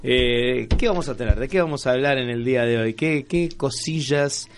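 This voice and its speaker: Man, Argentine Rioplatense accent, medium pitch, radio host, informal banter, teasing, repetitive phrasing. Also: normal speed, a little hiss or hum. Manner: playful, teasing, repeats phrases. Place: radio studio.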